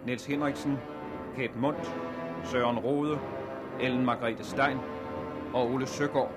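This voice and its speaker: Male radio announcer over loud music. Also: medium pitch, steady tone. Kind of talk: formal 1960s radio credits announcement. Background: loud music with guitar.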